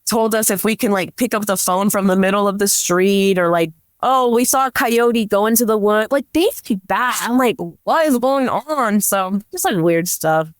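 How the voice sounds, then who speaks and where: A woman's voice: medium pitch, normal speed, hardly any pauses, no echo, studio quality. A woman speaking as a podcast guest, telling work anecdotes casually and informally, peppered with "like" and quoted dialogue. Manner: chatty, informal, heavy use of "like", quotes dialogue. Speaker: podcast guest, police dispatcher.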